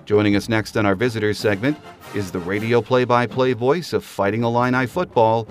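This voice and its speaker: A man's voice: lowish voice, fairly even intonation, fairly quick, faint music behind. A man hosting a sports podcast, delivering scripted commentary and announcements.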